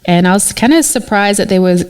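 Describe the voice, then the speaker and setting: A woman's voice: mid-range voice, animated, a little fast, a little mellow, smooth. Young woman guest, casual and hesitant. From Canada. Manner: casual, hesitant, many fillers like "um" and "like". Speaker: artist guest. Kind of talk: casual interview answers.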